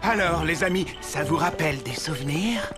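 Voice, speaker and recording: A man's voice: fairly high pitch, moderate pace, lightly acted. A man voicing a doubtful, grumbling adult male reindeer in a French cartoon. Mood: teasing. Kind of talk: French animated series dubbing. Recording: loud music behind the voice.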